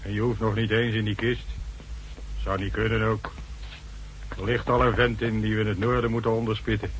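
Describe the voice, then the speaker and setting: A man's voice: on the low side, little pitch movement, fairly quick, natural voice. A man playing a menacing henchman who mocks in colloquial, darkly joking talk in a 1960s radio drama. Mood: menacing, mocking. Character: sardonic, menacing male henchman. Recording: faintly noisy 1960s radio recording.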